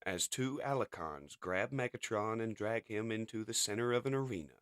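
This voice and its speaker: A man's voice: fairly low pitch, moderate pace, a bit bright, no echo, clean studio sound. A man narrating a comic as scripted drama in a theatrical, emphatic way.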